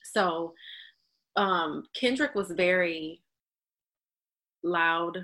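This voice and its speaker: A woman in casual conversation, animated and playful, talking in quick bursts. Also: fairly low pitch.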